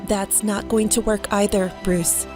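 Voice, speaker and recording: A woman's voice: mid-range voice, little pitch movement, unhurried, over music, natural voice. A woman playing a guarded, defiant stage magician in an audio drama, with a wry, self-assured manner.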